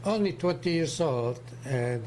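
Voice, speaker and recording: Man, 70s, strong Polish accent, hesitant and searching for words, mellow, faint background hum. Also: on the high side, expressive. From Poland. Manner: halting, searching for English words. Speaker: co-host. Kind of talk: casual livestream talk.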